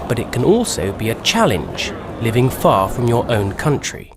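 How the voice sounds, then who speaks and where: A man giving scripted narration for a language-learning documentary, with a lively delivery. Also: mid-range voice, normal speed, noisy background. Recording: narration over clear music.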